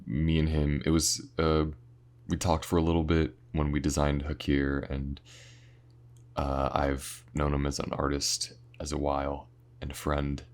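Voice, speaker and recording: A man with an extremely low voice and a heavy crackle of vocal fry speaks casually to his audience. His delivery is informal and earnest, halting as he searches for words, like a creator thanking the people who follow his work. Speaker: voice actor and audio series creator. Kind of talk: casual thank-you talk to viewers.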